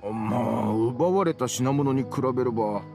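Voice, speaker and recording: An actor playing a rough-spoken, hearty and grateful merchant with a gravelly voice in a Japanese anime game. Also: male voice, mid-range voice, moderate pace, a little mellow, soft background music, close to an everyday voice. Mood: earnest.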